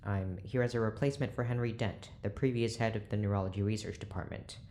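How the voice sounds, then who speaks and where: Man acting cold, low-voiced, officious prison doctor. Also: steady tone, fast, darkish tone, a little hiss or hum, natural voice. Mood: clinical, detached. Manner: clipped, formal, curt. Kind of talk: audio drama acting.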